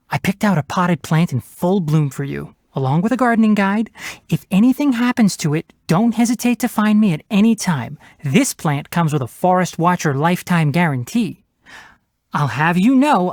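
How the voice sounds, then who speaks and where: Lively, scholarly young fox-eared ranger. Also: male voice, high voice, no room sound, studio quality. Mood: kind, earnest. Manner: precise, articulate, wry, matter-of-fact. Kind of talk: video game character voice lines.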